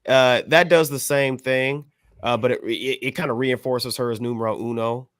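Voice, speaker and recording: An American man on a music podcast panel, giving a casual review in a measured, analytical, wordy manner. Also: mid-range voice, steady tone, fairly bright tone, no echo, studio quality.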